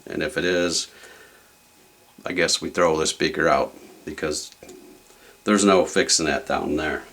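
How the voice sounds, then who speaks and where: A man's voice: extremely low pitch, steady tone, a little slow, fairly bright tone. A man hosting a casual repair video, talking through the job step by step in a plain-spoken way. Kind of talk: casual hands-on repair walkthrough.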